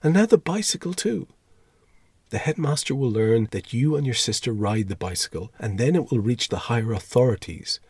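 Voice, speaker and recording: A man acts out a fussy older schoolmaster from a storybook, timid and easily scandalised. The voice climbs high as he moralises in anxious, formal pleas, recorded dry and close. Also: expressive, moderate pace, a little mellow, slightly rough, dry recording. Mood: horrified, pleading.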